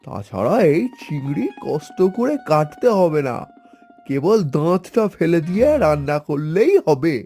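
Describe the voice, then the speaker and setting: An actor plays a persuasive fish seller in a cartoon. The voice is mellow and coaxing, with plucked-string music behind it. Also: mid-range voice, sing-song, measured pace. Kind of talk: Bengali cartoon voice acting.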